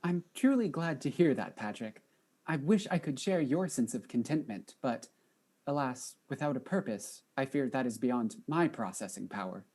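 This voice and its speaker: A woman playing a polite, earnest robot chief with formal, measured speech in a comedic audio drama. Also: a bass voice, lively intonation, mellow. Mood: wistful, sincere.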